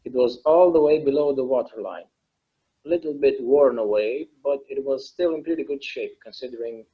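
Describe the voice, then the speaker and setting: A man acting a reflective immortal character in a naturalistic table read, speaking in a halting, earnest, conversational way. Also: mid-range voice, natural voice. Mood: wistful, solemn. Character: wistful, reflective immortal man confiding in his partner. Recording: slightly dull, lo-fi sound.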